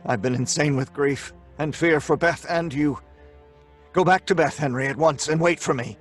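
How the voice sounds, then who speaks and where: An actor playing a tormented, guilt-ridden scientist, earnest and dramatic, in old time radio horror. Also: male voice, on the high side, moderate pace, soft background music, natural voice. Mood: agitated. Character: tormented, guilt-ridden scientist telling his tragic story.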